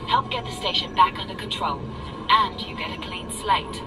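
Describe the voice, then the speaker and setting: A woman's voice: medium pitch, normal speed, natural voice. A woman playing a no-nonsense corporate staffer in a game audio log, businesslike, dry and blunt. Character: brisk, no-nonsense female corporate official on radio log. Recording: distant voice under loud music.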